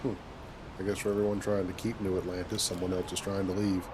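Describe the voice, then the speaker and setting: A man roleplaying a steady, dry-humored spaceship captain over video game play. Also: low voice, fast talker. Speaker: gamer voicing his player character. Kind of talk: in-character roleplay over gameplay. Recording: clearly noisy, game ambience behind.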